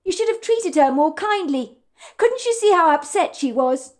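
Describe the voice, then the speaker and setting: A woman's voice: lively intonation, moderate pace. A woman voicing an earnest young waitress in an audiobook story, speaking in a raised, emotional, pleading voice. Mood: defiant. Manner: high, pleading, tearful delivery.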